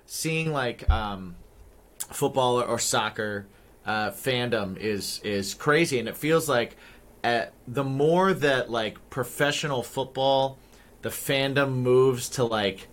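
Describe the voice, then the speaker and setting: A man's voice: medium pitch, slow, fairly bright tone. A man who grew up in the American Midwest, co-hosting a podcast in laid-back, casual chat full of fillers. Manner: laid-back, many fillers, laughs easily. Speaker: podcast co-host.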